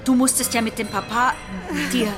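An actress playing an indignant, accusing young daughter in a radio drama. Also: female voice, fairly high pitch, fairly even intonation, moderate pace, lightly acted. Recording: clear music behind the voice.

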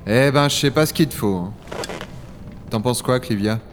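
Man acting a blunt, sardonic hunter, medium pitch. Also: normal speed, a little hiss or hum, close to an everyday voice. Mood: sceptical. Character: Owen, gruff, sceptical male dragon hunter. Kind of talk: French audio drama acting and first-person narration.

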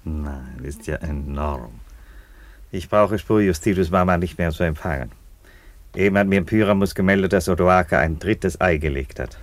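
An actor in a German radio play as an unhurried, ironic Roman emperor, speaking in a dry, deadpan way. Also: male voice, low voice, no echo, lightly acted. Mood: ironic, calm.

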